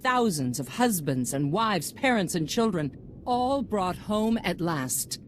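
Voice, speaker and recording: Casting: poised female galactic leader in a sci-fi game, low voice, polished statesmanlike delivery. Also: sing-song, slow pace, a little hiss or hum. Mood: confident. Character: poised, self-assured female head of a galactic government. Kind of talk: video game cutscene voice acting.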